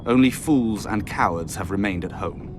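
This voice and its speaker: A man's voice: deep voice, normal speed, noisy background, natural voice. An actor playing a proud, bitter nobleman of royal blood in a video game, formal and sardonic in manner. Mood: grave, haughty. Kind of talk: video game cutscene dialogue.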